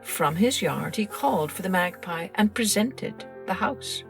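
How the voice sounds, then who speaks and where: Expressive woman narrating a children's story. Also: mid-range voice, unhurried, vocal fry, faint music behind. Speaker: children's storytelling podcast host.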